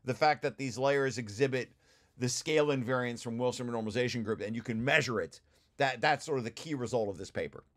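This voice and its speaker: A man's voice: medium pitch, fast talker. A man giving an informal technical explanation as a presenter, often restarting and repeating his phrases. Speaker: presenter of a research paper, study group leader. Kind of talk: informal technical explanation over shared slides.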